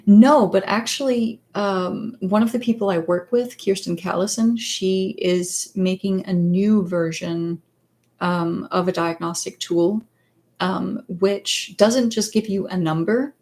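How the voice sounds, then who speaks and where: A woman whose first language is Danish, chatting casually on a livestream as a psychologist and author. She pauses often and searches for words. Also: mid-range voice, a little mellow. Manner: hesitant, many fillers and restarts, searches for words. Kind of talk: casual livestream chat answering viewer questions.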